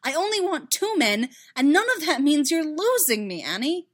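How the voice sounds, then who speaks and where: Reader playing an earnest woman, loving but firm, emphatic, high-pitched, audiobook drama. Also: very animated, measured pace, darkish tone. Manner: emphatic, insistent.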